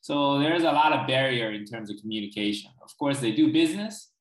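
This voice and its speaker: Guy on a video call, native chinese speaker, mid pitch, roomy echo, lots of "you know". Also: expressive, a little fast. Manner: explains at length with frequent "right?" and "you know". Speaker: guest expert. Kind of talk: casual interview over video call. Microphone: video call, laptop camera. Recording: echoey room over a video call.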